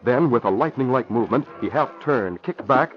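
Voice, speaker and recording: Animated American male vintage radio announcer. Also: mid-range voice, fairly quick. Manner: emphatic, formal delivery. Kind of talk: 1940s radio announcing, scripted dramatic narration. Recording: dull vintage radio recording.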